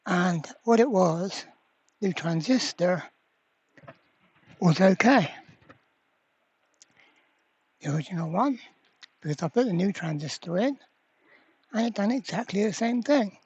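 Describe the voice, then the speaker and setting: A repair channel host giving a casual hands-on demonstration at a workbench, halting and thinking aloud while working. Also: medium pitch, expressive, a little mellow, breathy edge, dry recording, dull audio. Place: indoor workbench.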